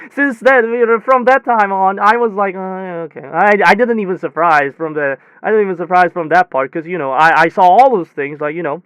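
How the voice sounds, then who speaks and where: A man playing a rattled technician in an audio drama, telling his story conversationally in halting, repetitive bursts full of false starts and self-corrections. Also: high-pitched, fairly bright tone, smooth, dry recording. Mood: amazed, rambling. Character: rattled, rambling young technician retelling a bizarre demon encounter. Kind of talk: hobby audio drama monologue, conversational retelling.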